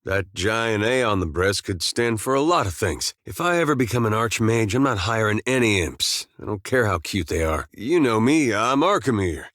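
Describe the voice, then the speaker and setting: A man playing an easygoing, wry farmer turned adventurer in a video game, with a laid-back, deadpan, folksy way of talking. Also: fairly low pitch, moderate pace, hardly any pauses, no room sound, clean studio sound. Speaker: video game companion character. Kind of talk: game dialogue and banter.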